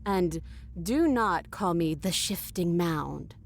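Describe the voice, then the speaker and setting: A woman playing a sincere, wry young princess in a video game, talking in a soft, conversational way. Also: on the low side, expressive, slow, vocal fry, a little hiss or hum, heavily acted. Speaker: game character.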